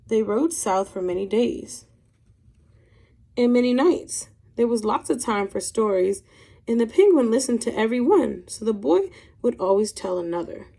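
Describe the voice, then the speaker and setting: A woman with a slightly high voice speaks as a teacher to young pupils. Her intonation is very lively and expressive. The delivery is that of a picture-book read-aloud, clearly aimed at children. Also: normal speed.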